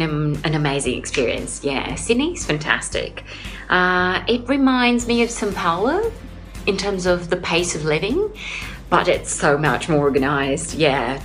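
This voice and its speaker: Brazilian woman teacher, low voice. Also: fairly bright tone. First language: Portuguese. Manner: earnest, with frequent "uh" fillers. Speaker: English teacher. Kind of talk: interview answers. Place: home in Sydney.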